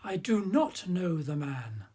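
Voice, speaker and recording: A man plays a frightened, defensive disciple in a scripture drama. The voice is husky and rises and falls widely as he makes emphatic denials. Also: high voice, sing-song, slow pace, dark tone, exaggerated voice. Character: Peter, fearful male disciple denying accusations.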